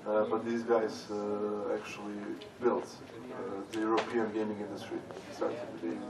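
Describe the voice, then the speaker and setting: A man's voice: fairly low pitch, completely flat delivery, normal speed, music in the background. Man, distant in echoey hall. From Serbia. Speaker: conference panelist. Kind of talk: conference panel talk. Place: large conference hall with audience. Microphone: stage microphone heard from far off.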